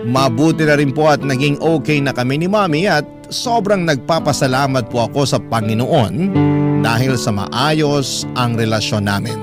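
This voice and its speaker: Male actor as caring filipino big brother telling his story, heartfelt, mid-pitched, loud guitar music under it. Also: normal speed, natural voice. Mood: reflective, concerned. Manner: earnest, heartfelt, respectful. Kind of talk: first-person dramatic narration of a letter. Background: prominent guitar music.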